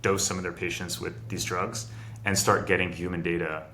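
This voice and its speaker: A man's voice: deep voice, little pitch movement, moderate pace, pushed and bright, slight background noise. A middle-aged man from France, a founder on a video call, explaining a long process step by step with restarts. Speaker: biotech founder and guest. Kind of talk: casual video interview.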